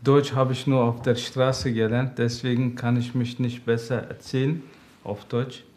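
A man whose native language is Turkish, speaking halting German with many pauses as a father seeking counseling. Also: medium pitch, level tone, a little mellow. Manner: hesitant, halting German with frequent fillers. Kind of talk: interview answers.

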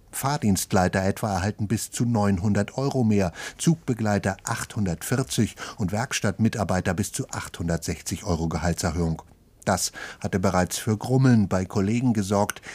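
A man narrating a scripted news report in a steady, factual delivery. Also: lowish voice, husky.

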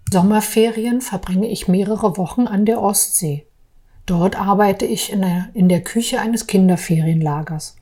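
A woman gives a personal account in a measured, deliberate way. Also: mid-range voice, mellow, slightly rough. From Berlin, East Germany. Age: 40s. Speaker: artist telling her own story. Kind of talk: personal account for a podcast, partly read aloud.

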